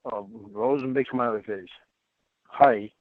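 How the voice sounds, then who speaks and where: A man's voice: medium pitch, fairly even intonation, a little slow, a crowd in the background. A man reading a chat exchange aloud and adding his own asides, heard through narrow, tinny playback.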